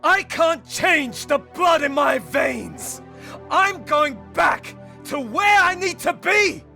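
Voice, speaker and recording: A young man voicing a defiant, anguished rebellious son in an anime dub, with forceful, emotional delivery. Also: extremely high pitch, animated, slow, gravelly, soft background music, full character voice. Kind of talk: dramatic anime fan dub acting.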